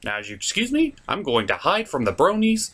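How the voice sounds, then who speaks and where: A man delivers scripted comedy review narration with a very lively, swooping pitch. His tone is sarcastic and exasperated as he hosts. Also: brisk, a little hiss or hum. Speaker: host of a comedic cartoon review show.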